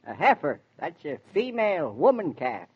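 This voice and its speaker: A man's voice: fairly high pitch, huge pitch swings, normal speed, slightly rough, full character voice. An actor playing a folksy, talkative older handyman with a rural American accent, rambling in homespun wisdom in 1940s radio drama style. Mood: teasing, sage. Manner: rustic, rambling, homespun philosophizing. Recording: dull vintage 1940s radio recording.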